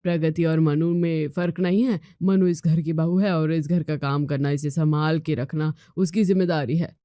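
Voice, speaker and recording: A woman's voice: low voice, fairly even intonation, normal speed, mellow, no echo, studio quality, natural voice. A woman voicing a stern middle-aged father who gives firm instructions in a family storybook tale.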